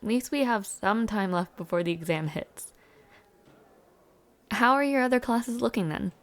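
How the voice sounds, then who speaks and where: A young woman playing a friendly, chatty university student in an audio roleplay, speaking casually with hesitant afterthoughts and a creaky voice. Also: medium pitch, animated, moderate pace, close to an everyday voice. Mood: friendly, helpful. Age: young adult. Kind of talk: intimate audio roleplay, casual one-sided conversation.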